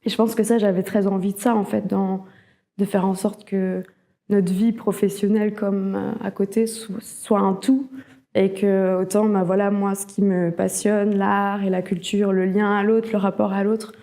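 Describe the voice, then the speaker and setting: Reflective woman with a soft, even tone. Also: medium pitch, moderate pace. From Alsace, France. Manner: reflective, hesitant, often rephrasing as she goes. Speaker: cultural network worker, interviewee. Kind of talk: personal testimonial interview.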